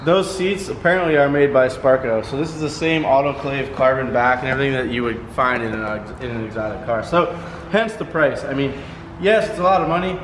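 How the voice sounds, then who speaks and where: A man's voice: mid-range voice, fairly quick, fairly bright tone. A man chatting enthusiastically in a garage shop. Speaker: shop helper, longtime viewer. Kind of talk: casual chat. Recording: echoey shop, noisy, from off-mic.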